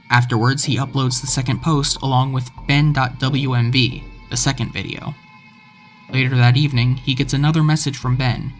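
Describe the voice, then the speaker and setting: A man narrating a scripted video essay about an internet horror story. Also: medium pitch. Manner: reads quoted messages with rising intensity.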